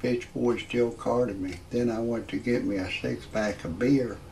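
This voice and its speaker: A man in his sixties hosting a parody show, rambling through a comedic monologue in a halting, repetitive, grumbling way with a gravelly voice. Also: medium pitch, fairly even intonation, darkish tone, slight background noise. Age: 60s. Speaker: host of a parody report show.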